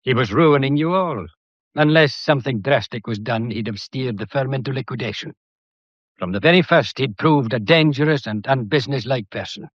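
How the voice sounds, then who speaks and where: An actor playing a shrewd, methodical police detective in a British period mystery drama, courteous and lecturing, with a faintly theatrical delivery. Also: male voice, medium pitch, moderate pace, dry recording, muffled recording, natural voice. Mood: pointed, deliberate. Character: shrewd, methodical male police detective laying out a murder case.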